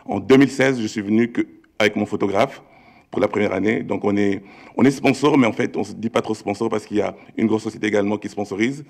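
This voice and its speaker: Male panelist, middle-aged, lowish creaky voice, informal storytelling to present his company. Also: fast. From Congo-born, raised in Belgium. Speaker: panelist, tech entrepreneur.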